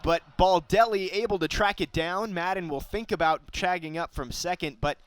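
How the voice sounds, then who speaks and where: A young man with a fairly high voice does live baseball play-by-play. He describes the action in detail and lifts his delivery for big plays. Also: moderate pace, clean tone, no room sound. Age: young adult. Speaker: play-by-play announcer.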